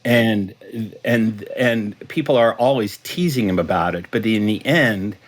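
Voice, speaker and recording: A man's voice: fairly low pitch, measured pace. A man, a writer being interviewed, talking reflectively and conversationally about his stories, with a storyteller's manner. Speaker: guest writer, creator of a detective series.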